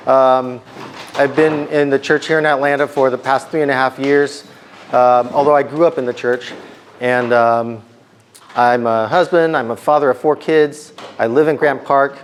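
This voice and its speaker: Man, middle-aged, slightly hoarse, casual sermon, chatty, halting restarts. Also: medium pitch, a little hiss or hum. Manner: conversational, many ums and restarts. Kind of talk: informal sermon to students.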